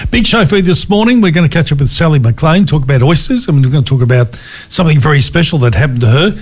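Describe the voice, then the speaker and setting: Australian male breakfast radio co-host, croaky from no sleep, casual halting chat. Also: on the high side. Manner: relaxed, halting, many fillers. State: croaky, hoarse from lack of sleep. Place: radio studio.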